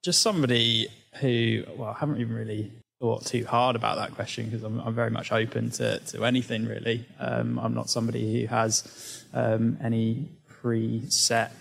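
A young man from England talks in a hesitant, easygoing way, with frequent ums and restarts. His voice is gravelly and stays flat in pitch. He is recorded in a studio. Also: normal speed, few pauses. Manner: hesitant, lots of fillers and restarts. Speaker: podcast guest. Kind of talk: casual chat.

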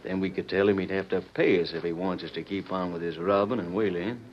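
An actor playing an eager but deferential stagecoach robber with a rustic cowboy drawl, in an old radio western. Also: male voice, low voice, fairly even intonation, fast, highs cut off, lightly acted. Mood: sheepish. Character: scheming, chuckling outlaw henchman, eager but deferential.

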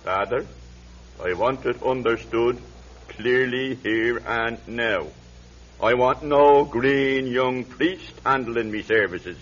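An actor playing a sickly, guilt-ridden old Irish drinker in a radio drama, halting and lamenting in an Irish accent. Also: male voice, medium pitch. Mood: agitated, stubborn. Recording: muffled vintage radio recording, faint hum.

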